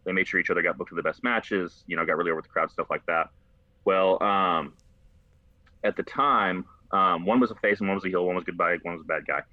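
Low-pitched man, American accent, quick pace, casual storyteller, dry slightly dull recording. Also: dry recording. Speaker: podcast host.

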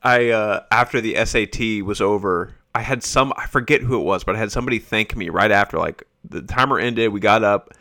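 A man's voice: fairly low pitch, a little fast, hardly any pauses, sharp tone. An American man in his forties hosting a podcast in a casual, chatty, reminiscing way. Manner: chatty, reminiscing, chuckles often. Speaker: podcast host. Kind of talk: casual conversational interview.

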